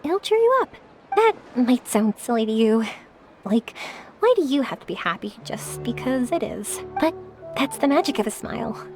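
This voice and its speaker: A woman playing a cheerful, chatty, warm-hearted young traveler in a video game, bubbly and encouraging. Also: fairly high pitch, big pitch swings, moderate pace. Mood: kind, encouraging. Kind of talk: scripted video game story dialogue. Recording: studio voice over background music.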